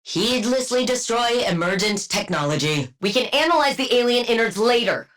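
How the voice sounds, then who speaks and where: Icy, haughty supervillain scientist. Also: female voice, fairly high pitch, expressive, normal speed, fairly bright tone. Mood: dry, condescending. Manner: precise, dry, condescending. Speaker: voice actress in a video game. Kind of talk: scripted game character voice lines and battle barks.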